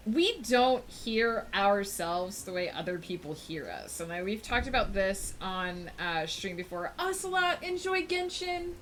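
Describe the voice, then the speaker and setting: A woman's voice: sing-song, a little slow, hardly any pauses, a little hiss or hum. Chatty woman streamer talking to chat. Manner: rambling and thoughtful, with frequent fillers; bright, high lift when greeting chat viewers. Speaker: livestreamer. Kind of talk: casual chat with a live audience.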